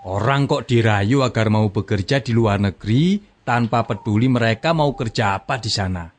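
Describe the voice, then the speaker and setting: A man's voice: on the low side, normal speed. A man playing a wise, inquisitive village stall host in a scripted comic drama, his talk full of exclamations. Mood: indignant, concerned. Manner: exclamatory, punctuated with interjections. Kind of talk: scripted comic radio-style drama dialogue.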